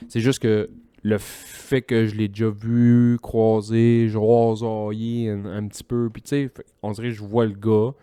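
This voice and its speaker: A man with a Québécois French accent, co-hosting a casual podcast chat in a relaxed, joking, self-mocking way. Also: fairly low pitch, a little slow, clear, resonant tone, no echo. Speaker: podcast co-host.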